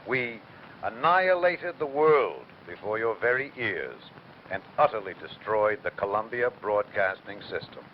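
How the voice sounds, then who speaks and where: A droll 1930s radio host played by an actor, mid-pitched and measured, with lively intonation. The recording is dull and faintly noisy. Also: male voice.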